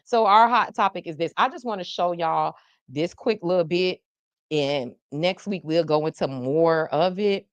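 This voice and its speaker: Woman host riffing on local news to her chat, lowish voice, dry close sound. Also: unhurried, clear, resonant tone, no echo. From South Florida. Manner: animated, playful, talks to the chat. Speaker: podcast host. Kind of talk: casual live news talk.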